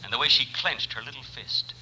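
A man's voice: mid-range voice, a little fast, sharp tone. An actor playing a surgeon who narrates his romance in a wry, mock-dramatic radio comedy style. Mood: wry, smitten. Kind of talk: scripted old-time radio comedy narration. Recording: noisy old radio recording.

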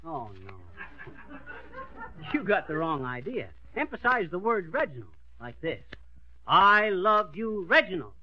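An actor playing a folksy, boastful middle-aged schemer with an American accent and a slangy, bossy manner, in old radio comedy style. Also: male voice, fairly high pitch, huge pitch swings, measured pace, pauses often. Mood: coaching, bossy. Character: folksy, boastful middle-aged tall-tale schemer running an acting school. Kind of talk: 1930s-40s radio comedy acting. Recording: dull lo-fi old radio recording.

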